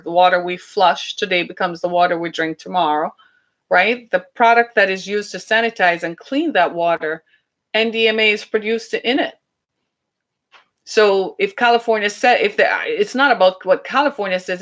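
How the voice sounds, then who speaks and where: A woman's voice: medium pitch, fairly bright tone. A woman from the British Caribbean with a Caribbean accent, hosting a podcast in a chatty, emphatic monologue full of rhetorical questions. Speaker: podcast host.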